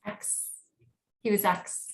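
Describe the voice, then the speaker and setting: A woman giving a live spiritual reading over a video call, soft-spoken and often checking in with questions. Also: slow pace. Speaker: spiritual medium.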